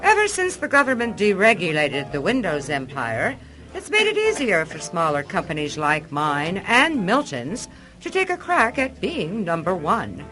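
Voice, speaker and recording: Sharp, combative businesswoman. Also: fairly low pitch, huge pitch swings, normal speed, soft background music, full character voice, rough recording. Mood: indignant, scornful. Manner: indignant, condescending, emphatic. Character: combative, self-assured female software company boss. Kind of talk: radio drama acting.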